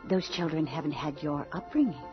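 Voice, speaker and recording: Woman playing an anxious submissive mother, low voice. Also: brisk, lightly acted. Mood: meek, worried. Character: submissive anxious mother and wife of stern husband. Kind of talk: old-time radio drama acting. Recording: muffled old radio recording with music.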